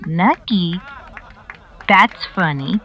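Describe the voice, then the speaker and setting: A woman plays a bored, dry-witted onlooker in a radio western. Her voice sits on the low side and moves extremely slowly, with a deadpan, unimpressed delivery. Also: dull audio, full character voice. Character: bored, dry-witted woman watching an Old West poker game. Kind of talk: old-time western radio drama acting.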